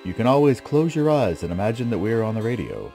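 A man announces for a radio drama broadcast. His voice is mellow and a little low, with very lively intonation as he reads the script. Music plays softly behind him. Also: fast talker.

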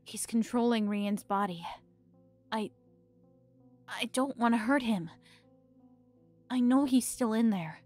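A woman's voice: fairly high pitch, slow pace, long pauses, a little mellow, soft background music. Woman voicing a hesitant young heroine. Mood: earnest. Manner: hesitant, stammering. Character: young heroine, unsure but determined. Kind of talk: fan audio drama acting.

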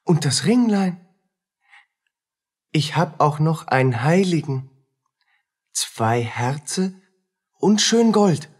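A haunted soldier in his thirties speaks slowly in a husky voice. His delivery is halting and fatalistic, as in a radio drama. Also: a little high, expressive, heavily acted. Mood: wistful, subdued. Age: 30s. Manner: slow, halting, brooding delivery. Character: haunted, brooding thirty-year-old soldier, poor and fatalistic. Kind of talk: radio play acting.

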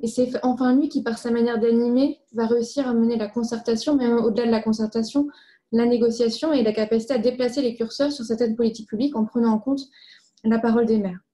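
A woman presents findings formally. Her voice sits fairly high and is very soft-edged and mellow. She explains things methodically, point by point. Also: fairly even intonation, fast.

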